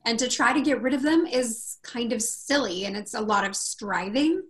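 A woman with a husky voice, a confidence coach talking as a guest in a casual podcast interview, animated and expressive as she tells stories. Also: fairly high pitch, normal speed.

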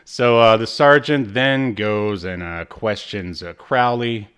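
A man's voice: lowish voice, slow pace, fairly bright tone, smooth. A man hosting a podcast, chatting casually through a recap and dropping in playful, joking asides. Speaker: podcast host. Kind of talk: casual chat recapping a TV episode.

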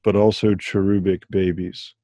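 Host: low, dark-toned man, measured and hesitant, dry recording. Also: flat delivery, dry recording. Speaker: interviewer. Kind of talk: interview questions.

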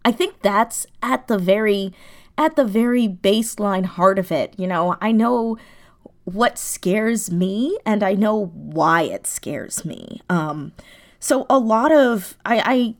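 Animated, self-deprecating woman in conversation. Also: mid-range voice, takes it slowly. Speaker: interview guest, audio drama writer and performer. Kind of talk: casual conversational interview.

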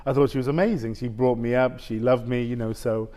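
Middle-aged male guest, halting storyteller, mid-range voice. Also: medium pitch, fairly even intonation, mellow. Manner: halting, with many restarts and "basically". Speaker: interview guest. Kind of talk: personal story in casual conversation.

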